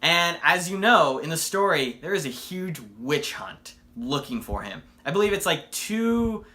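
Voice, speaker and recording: Bright-voiced, enthusiastic man reviewing casually. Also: fairly high pitch, big pitch swings, unhurried. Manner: enthusiastic, conversational, rhetorical questions. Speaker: comic book reviewer and video host. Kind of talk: casual, unscripted fan review to camera.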